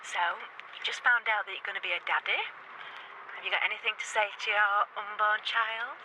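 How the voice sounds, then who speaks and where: An actress playing a playful, excited young mother-to-be talking in a home video scene of a drama film. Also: mid-range voice, fairly quick, lightly acted. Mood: playful, affectionate. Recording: distant, very noisy home video.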